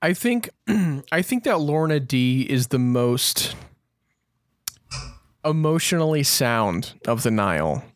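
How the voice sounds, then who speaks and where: Male podcast co-host, average pitch, relaxed chat format, very little room sound. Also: takes it slowly, pauses often, no echo, studio quality.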